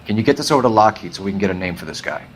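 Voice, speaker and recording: An actor playing a probing, businesslike police detective in a 1940s video game, with a dry, clipped delivery. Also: male voice, lowish voice, expressive, rapid-fire, a little hiss or hum, natural voice. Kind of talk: cinematic game dialogue.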